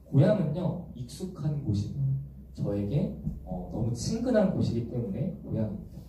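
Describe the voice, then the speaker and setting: A young man preaching to a church youth group in a gentle, explanatory way, often asking the congregation questions. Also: mid-range voice, big pitch swings, normal speed, a little hiss or hum. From Busan, South Korea. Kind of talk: sermon. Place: large echoey church hall. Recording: echoey church hall from far off.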